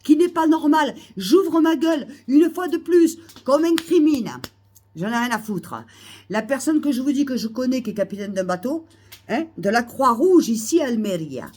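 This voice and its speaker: A woman's voice: high-pitched, animated, normal speed, husky. Indignant woman ranting with a cold. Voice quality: hesitant restarts and fillers. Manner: indignant, repetitive, rising to loud outbursts. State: has a cold, coughing. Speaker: vlogger. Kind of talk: ranting monologue to camera. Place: Almeria, Spain.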